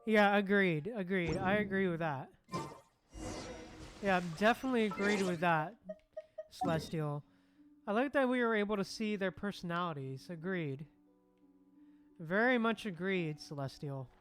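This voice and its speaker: Streamer chatting casually w/ viewers, mid pitch, repeats stuff a lot, game music pretty loud behind. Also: a little slow, pauses often. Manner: repeats phrases, replying to chat. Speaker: livestream host. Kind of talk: casual livestream chat while gaming.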